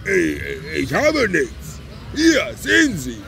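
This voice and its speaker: An actor playing a drunk, mocking, bitter non-human vagrant with a gravelly, drawling voice in an audio drama. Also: male voice, high voice, huge pitch swings, slow pace, pushed and bright, faint music behind, some chatter behind, full character voice. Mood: indignant. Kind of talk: German audio drama acting.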